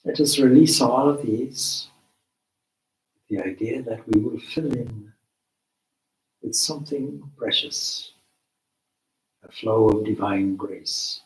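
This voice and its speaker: A man leading a guided meditation as a teacher, with measured, deliberate phrasing and long pauses. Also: medium pitch. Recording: roomy, echoing space.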